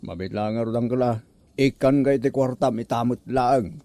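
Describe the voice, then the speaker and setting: Mellow Ilocano nephew, acted by a man. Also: medium pitch, moderate pace, no echo, lightly acted. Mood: sympathetic. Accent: Ilocano, northern Philippines. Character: concerned, gullible, kind-hearted younger nephew. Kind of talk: Ilocano comedy radio drama acting.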